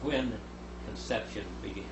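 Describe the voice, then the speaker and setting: A man in his seventies teaching the Bible at a lectern, reading scripture aloud and voicing its speakers louder. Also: on the low side, unhurried. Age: 70s. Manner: reads scripture aloud, rising loud for quoted speakers. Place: small room with a lectern. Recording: echoey room, noticeable hum.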